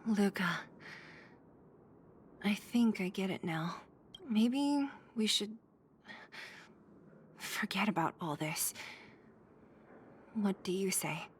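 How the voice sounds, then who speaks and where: A woman voicing a gentle, thoughtful female companion in a video game, soft and consoling. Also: medium pitch, slight background noise. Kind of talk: video game voice acting, soft dramatic dialogue.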